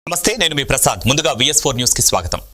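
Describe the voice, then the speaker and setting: A man's voice: medium pitch, expressive, rapid-fire, over loud music. A man presenting a scripted news introduction.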